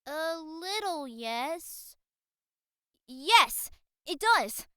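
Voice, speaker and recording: High, bright little lost familiar. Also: very animated, no echo, clean studio sound, heavily acted. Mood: tentative, hopeful. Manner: hesitant, halting, searching for words. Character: small childlike wax candle familiar, lost, earnest, timid.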